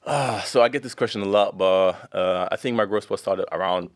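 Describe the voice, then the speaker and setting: A man's voice: on the low side, fairly quick. A young man from Germany, a podcast guest, chatting casually with lots of fillers. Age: young adult. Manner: relaxed, rambling with many fillers. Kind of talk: casual chat.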